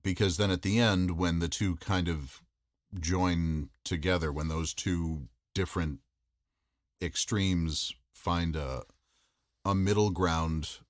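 A man speaking as a podcast guest, reflecting in a measured, thoughtful way with pauses mid-sentence. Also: low-pitched, no room sound, studio quality. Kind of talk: reflective interview talk.

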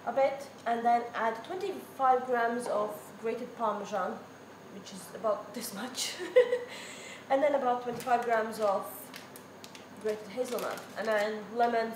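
A woman's voice: a little high, background noise. A woman in her thirties chatting casually in a vlog, giggling and thinking aloud as she goes. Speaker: homesteading vlogger. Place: woodland outdoors, then home kitchen. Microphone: camera mic, handheld close then fixed camera.

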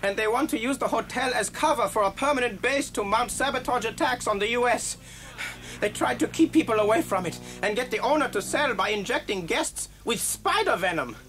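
A man's voice: very high-pitched, animated, a little fast, a bit bright, faint music behind. An actor playing an earnest male doctor and father in a children's audio story. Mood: grave, explaining. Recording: noisy old story cassette.

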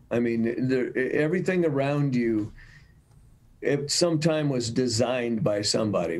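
An older American man speaks at a slow, unhurried pace with a mid-range pitch. He pauses to think as he goes, reflecting as an interview guest on a video call. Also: a little mellow. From United States, Michigan. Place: room at home, over video call. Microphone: video call mic.

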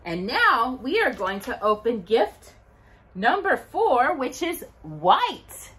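Slow, animated woman reading a children's storytime. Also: sits high. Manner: sing-song, expressive, addressing young listeners. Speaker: storytime host. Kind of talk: children's storytime, reading verse and scripture aloud. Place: indoors at home by a brick fireplace.

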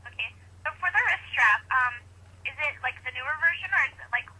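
High, bright, quick female support agent. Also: expressive, breathy edge. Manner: polite, hesitant, filler-heavy. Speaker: customer service agent. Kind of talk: phone support call. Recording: noisy phone call over speakerphone.

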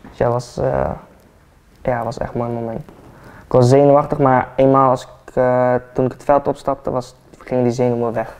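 An eighteen-year-old man, a football player, chatting relaxed and hesitant with many fillers while getting a haircut in a barbershop. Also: mid-range voice, moderate pace, clean tone. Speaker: football player, interview guest. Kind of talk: casual interview chat. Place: barbershop, indoors, seated in barber chair.

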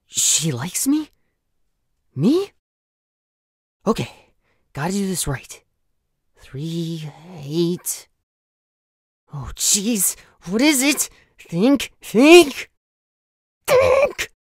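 A woman voicing a young male cartoon hedgehog hero, cocky yet shy, expressive and easily flustered, in an amateur comic dub. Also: medium pitch, drawn-out words, pauses often, slightly rough, no echo, studio quality, heavily acted. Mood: flustered, panicked. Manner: expressive, swinging from smug to flustered.

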